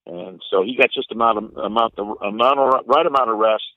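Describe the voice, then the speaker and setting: Male sports announcer as interview guest, lowish voice, measured and hesitant analysis, thin phone-quality sound. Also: no echo. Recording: narrow, tinny phone-like line.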